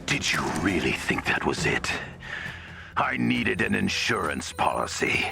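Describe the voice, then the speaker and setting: An actor playing a vengeful fanatic villain in a video game, pompous and theatrical, with a very rough, gravelly voice. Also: male voice, low voice, sing-song, unhurried, exaggerated voice. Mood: gloating. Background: dramatic game music.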